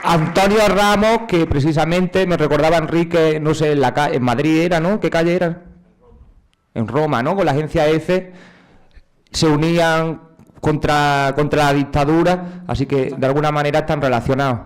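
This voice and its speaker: A man's voice: a little high, moderate pace. A man moderating a public tribute event, joking casually and handing over to speakers.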